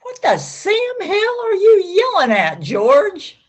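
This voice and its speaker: Woman playing a blunt small-town policeman, animated pitch. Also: slow pace, heavily acted. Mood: gruff concern. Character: Bert, gruff but loyal small-town policeman. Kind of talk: amateur radio play acting. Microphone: video call.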